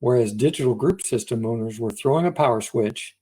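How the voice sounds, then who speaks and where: A man's voice: medium pitch, brisk, dark tone, slightly rough. A man co-hosting a casual, conversational discussion of computer history. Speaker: podcast co-host.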